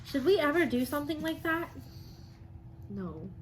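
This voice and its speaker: Higher-voiced woman teaching young children. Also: big pitch swings, moderate pace, a little hiss or hum, low-quality recording. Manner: child-directed, asking questions and affirming. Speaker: elementary school teacher. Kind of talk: children's read-aloud and drawing lesson.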